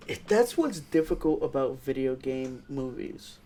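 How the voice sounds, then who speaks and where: A man's voice: medium pitch, lively intonation, a little slow, mellow, slight background noise. A man co-hosting a film review podcast in casual, informal conversation. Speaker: podcast co-host reviewing films. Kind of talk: casual chat.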